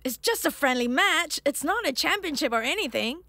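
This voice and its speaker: A woman voicing a confident rival kid player who speaks briskly and boasts. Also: sits high, expressive, background noise.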